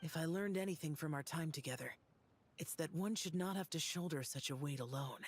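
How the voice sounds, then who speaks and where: A woman voicing a gentle, caring, composed companion with thoughtful, formal speech in a video game. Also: low voice, a little fast, slightly rough. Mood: earnest, caring.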